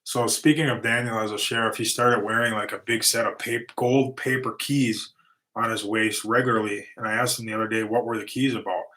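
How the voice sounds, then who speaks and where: Middle-aged male livestream host, lower pitch, relaxed rambling delivery, tiring voice. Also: a little fast. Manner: easygoing, rambling with restarts and fillers. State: voice getting tired and going. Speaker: livestream host, lawn and concrete business owner. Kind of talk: casual livestream Q&A, reading chat questions.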